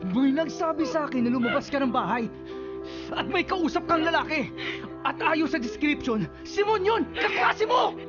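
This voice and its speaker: A woman's voice: high-pitched, animated, moderate pace. A woman acting a jealous, controlling young husband in a radio drama, accusing and domineering. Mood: angry accusing. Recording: lo-fi radio broadcast over loud music.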